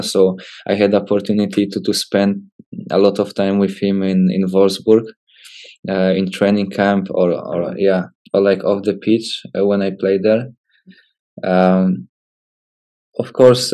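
A Polish man in his twenties with a Polish accent, giving casual interview answers as a footballer in hesitant, halting English. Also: on the low side, mellow. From Poland. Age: 20s. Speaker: professional footballer, interview guest.

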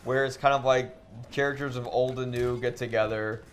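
Male podcast host, mid-pitched, opinionated and conversational, gaming as he talks, noisy recording. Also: steady tone, normal speed. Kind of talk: casual chat while playing a video game. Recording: clearly noisy room recording.

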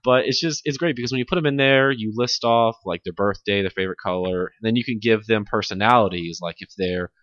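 Low-pitched, rambling man in casual chat. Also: fast talker, few pauses, fairly bright tone, no echo, highs cut off. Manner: rambling, laughs often. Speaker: gaming podcast host. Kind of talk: casual chat about video games.

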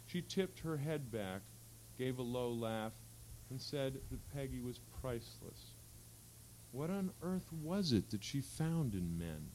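A man's voice: medium pitch, lively intonation, slow pace, a little mellow, rough recording. Man reading fiction aloud, faint hum behind. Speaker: reader at a literary event. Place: live public reading at an event. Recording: faint hum and background noise.

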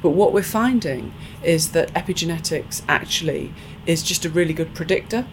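A woman scientist answering interview questions in a podcast, thoughtful and earnest, pausing on fillers as she explains. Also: on the low side, slight background noise. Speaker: scientist and professor being interviewed.

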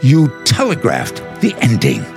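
A man's voice: mid-range voice, huge pitch swings, a little slow, vocal fry, soft background music, heavily acted. A man acting in a radio drama as a defiant, scornful editor, speaking in a commanding, dramatic way. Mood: dismissive, challenging.

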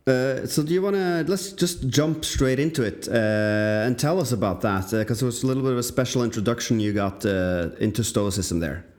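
A man's voice: medium pitch, moderate pace, few pauses, darkish tone. A man hosting a podcast, asking questions casually and a little tentatively. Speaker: podcast host. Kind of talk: casual interview.

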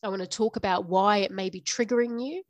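A woman's voice: mid-range voice, fast talker, dry recording. Nasal, stuffy-nosed woman podcast host. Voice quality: slightly nasal. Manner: frank, tells it like it is, laughs often. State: stuffy nose after the flu. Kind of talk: unscripted business podcast monologue.

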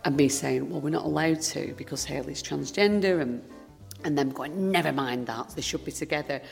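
A woman's voice: low-pitched, moderate pace, gravelly, darkish tone. A woman telling a conversational anecdote as an interviewee, acting out quoted remarks. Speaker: interviewee, actress. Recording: long reverberant hall, faint music.

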